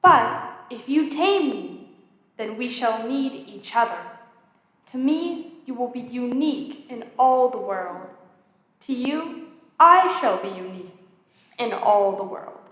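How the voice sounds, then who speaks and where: Woman reading as a lonely storybook fox, high and lilting. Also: animated, takes it slowly. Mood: earnest, wistful. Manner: earnest, gently dramatic storybook delivery. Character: lonely wistful fox longing to be tamed. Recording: echoey hall with long reverb.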